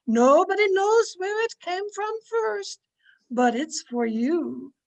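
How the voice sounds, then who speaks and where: A woman's voice: very high-pitched, expressive, takes it slowly, creaky, exaggerated voice, low-quality recording. A woman playing a devoted, solemn old maidservant in an old-time radio drama. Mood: solemn, mysterious. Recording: home recording over video call.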